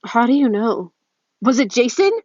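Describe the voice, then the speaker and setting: A woman's voice: on the high side, normal speed, a little mellow, no room sound, natural voice. A woman voicing a guarded, moody teenage boy with a hesitant, defensive manner in a story reading. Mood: wary. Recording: muffled phone recording.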